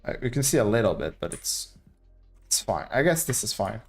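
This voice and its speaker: A man's voice: fairly low pitch, big pitch swings, brisk, vocal fry, slightly rough, faint music behind. A young man from Portugal, casually thinking aloud as he live-streams a building game. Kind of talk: live gameplay commentary.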